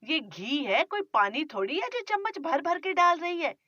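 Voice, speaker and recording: A woman's voice: high voice, big pitch swings, moderate pace, sharp tone, no room sound, highs cut off, pitched above the performer's own voice, exaggerated voice. A woman voicing a stingy, nagging older mother-in-law who scolds about money. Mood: scolding. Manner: scolding, penny-pinching complaints.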